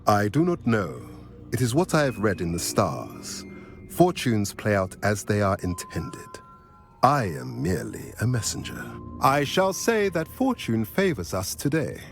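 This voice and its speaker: A solemn centaur seer from a fantasy video game. The voice is low and moves slowly, with formal, oracular phrasing that rises and falls widely. He sounds wise and stately. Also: wildly swooping pitch, soft background music. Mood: solemn, prophetic. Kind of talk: dramatic video game cutscene acting.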